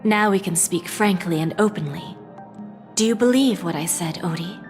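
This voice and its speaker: An actress playing a confident, shrewd female corporate negotiator in a video game, articulate, measured and pointed, with a coolly threatening edge. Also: fairly low pitch, faint music behind, lightly acted. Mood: cool, blunt. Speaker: game voice actor. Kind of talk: dramatic video game dialogue.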